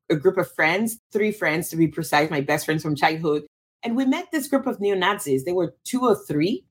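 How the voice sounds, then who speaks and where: Woman from cameroon, lowish husky voice, thinks out loud w lots of restarts. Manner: thoughtful, many restarts and fillers. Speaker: guest. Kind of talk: interview answer.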